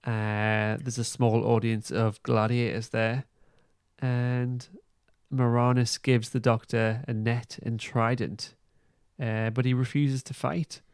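A man hosting a podcast, giving a casual recap in an informal, halting way. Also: medium pitch, flat delivery, darkish tone, smooth, dry recording, clean studio sound. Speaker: podcast host. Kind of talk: casual plot recap and review.

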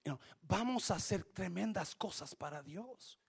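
Animated preacher with a Spanish accent. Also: male voice, high voice, measured pace, gravelly, slightly rough. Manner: switches between Spanish and English mid-sentence; swings from quiet to shouting. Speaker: pastor. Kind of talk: bilingual sermon.

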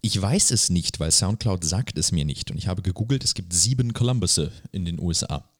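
A man's voice: deep voice, a little fast, slightly rough, no echo, studio quality. A man co-hosting a podcast in a casual, chatty way. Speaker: podcast co-host.

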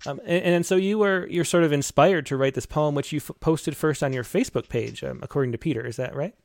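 A man's voice: fairly high pitch, fairly quick, gravelly, darkish tone, no room sound, clean studio sound. A man hosting an interview, reacting with sympathy and asking his guest questions. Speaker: interviewer host. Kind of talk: interview conversation.

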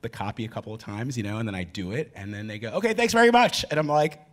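A man moderating a panel before a live audience, telling lively, chatty anecdotes. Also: medium pitch, fast talker. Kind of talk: casual panel talk.

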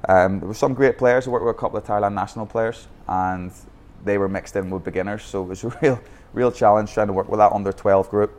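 A man's voice: lowish voice, steady tone, quick pace, hardly any pauses, darkish tone. A man with a Northern Irish accent telling his coaching story in a podcast interview, candid, with frequent restarts. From Northern Ireland. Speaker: youth football academy founder. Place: Bangkok.

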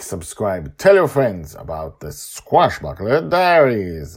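A man hosting a story podcast and reading his own tale in an animated, emphatic way with short dramatic phrases. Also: sits low, creaky, slightly rough.

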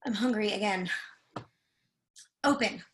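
A woman's voice: mid-range voice, a little slow, lightly acted. A woman playing a harried, imperious professor in her fifties in a play reading, demanding and easily exasperated. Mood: indignant.

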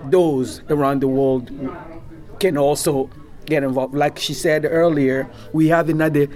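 Man from Congo, slow halting interviewee, slightly high voice. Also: steady tone, a little mellow. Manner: halting, many fillers and restarts. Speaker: association member. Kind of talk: casual interview answers.

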